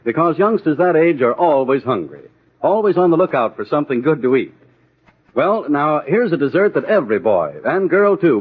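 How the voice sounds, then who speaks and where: A male announcer gives an old-time radio sales pitch in a folksy, eager way. His voice is husky and swings up and down in lively fashion. The recording is thin and tinny. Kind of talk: 1940s radio advertising pitch.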